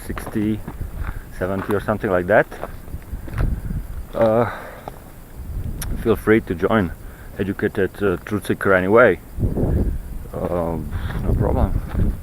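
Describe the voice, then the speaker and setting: Male walking vlogger, lowish pitch, non-native English, rambling and repetitive delivery, noisy open-air trail sound. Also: steady tone. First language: not English. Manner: halting, searching for words, repeats himself. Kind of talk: rambling walk-and-talk monologue on ancient texts. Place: outdoors on a mountain trail. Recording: noisy outdoor handheld recording with clicks.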